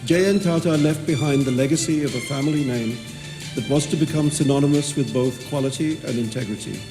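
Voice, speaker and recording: A man from Mumbai giving a short, friendly, slightly halting speech and reading a passage at a book launch. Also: on the high side, over music. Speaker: event speaker.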